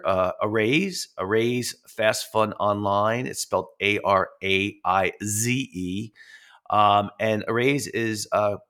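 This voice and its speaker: A man hosting a podcast, nasal from a head cold. His voice sits fairly low and has a gravelly fry, and he talks haltingly, full of fillers and restarts. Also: fairly bright tone. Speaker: podcast host. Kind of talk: casual interview, reading sponsor spots.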